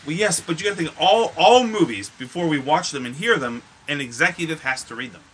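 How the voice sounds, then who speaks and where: A man's voice: fairly high pitch, lively intonation, brisk, a bit bright, breathy edge, low-quality recording. A man co-hosting a podcast in casual conversation, talking in an emphatic, blunt way with some swearing. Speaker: podcast co-host. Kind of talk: casual chat about movies and games.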